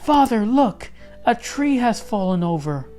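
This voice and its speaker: A man's voice: very animated, measured pace, a little mellow. A man voicing an eager, helpful young boy in a storybook, with a piping manner. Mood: excited. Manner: high-pitched, piping.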